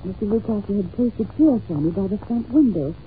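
A woman's voice: medium pitch, lively intonation, brisk, natural voice. A woman playing an anxious, polite young governess in an old-time radio drama, speaking earnestly and hesitantly on a muffled, hissy recording. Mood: confiding. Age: young adult. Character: anxious, well-mannered young governess confiding in a detective.

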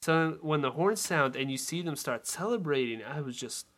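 A man's voice: a little high, lively intonation, normal speed, a bit bright. A man co-hosting a casual film discussion, giving his opinions earnestly. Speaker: podcast co-host.

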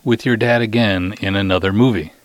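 Very deep-voiced man hosting casually. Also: fairly even intonation, fairly quick, dry recording. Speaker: podcast host and interviewer. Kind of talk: casual hosting and light interview.

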